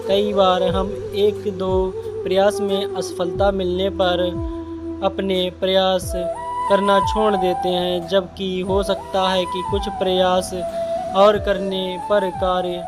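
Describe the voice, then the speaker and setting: A man narrating a scripted moral story with deliberate, halting phrasing. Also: high voice, monotone. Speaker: storyteller for a channel. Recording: loud music behind the voice. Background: loud music.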